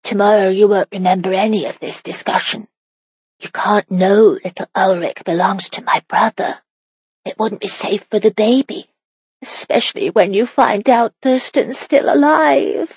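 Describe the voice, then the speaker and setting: Woman acting a husky, pleading young medieval wife. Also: medium pitch, moderate pace, a little mellow, no echo, highs cut off, pitched above the performer's own voice. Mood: earnest, tipsy.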